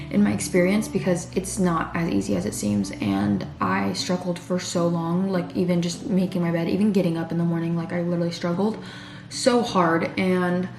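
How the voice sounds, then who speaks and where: A woman's voice: lowish voice, darkish tone. A young woman from California chatting casually and rambling, with many fillers and restarts, while getting ready at home. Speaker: vlogger. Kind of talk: casual chat. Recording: roomy sound with background music.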